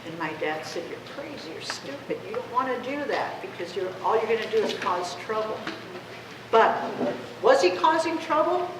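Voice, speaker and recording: A woman's voice: a little high, very animated, moderate pace, distant mic. Woman in her 50s, spanish speaker, giving a passionate chatty speech, echoey room w noise behind. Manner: conversational, seeking agreement with rhetorical questions. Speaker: activist speaker. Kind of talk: impassioned public talk.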